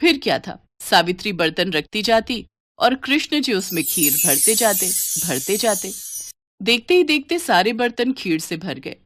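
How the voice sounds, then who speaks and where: A woman's voice: medium pitch, very animated, measured pace, noisy background. A woman storyteller reading scripted devotional story narration.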